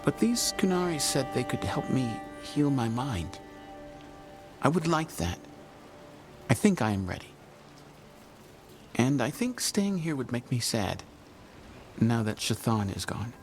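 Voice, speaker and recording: An actor playing a gentle Qunari man, speaking in a measured, formal way. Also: mid-range voice, big pitch swings. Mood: calm. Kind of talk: video game cutscene dialogue. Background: music.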